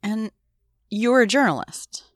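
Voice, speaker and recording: A woman hosting an interview podcast, chatty and upbeat with quick asides. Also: a little high, expressive, no echo, clean studio sound. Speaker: podcast host. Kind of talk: casual interview chat.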